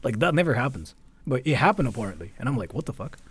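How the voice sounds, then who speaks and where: A man's voice: medium pitch, sing-song, fast, fairly bright tone, husky, no room sound. A man from Japan with a Japanese accent, chatting casually and bluntly as a livestreamer, often repeating himself. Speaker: livestreamer reacting to a video. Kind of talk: casual livestream commentary with chat.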